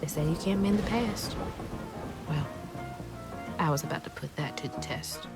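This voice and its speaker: A woman's voice: sits low, normal speed, vocal fry. An actress playing a determined, anxious young Southern US woman searching for her mother in a video game, earnest and emotionally open. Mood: reflective. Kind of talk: cinematic video game acting with reflective narration. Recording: music behind the voice.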